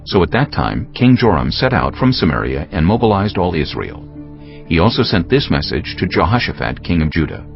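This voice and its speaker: A man narrating scripture from a script in a solemn, deliberate storytelling manner. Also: sits low, sing-song, muffled recording, faint music behind.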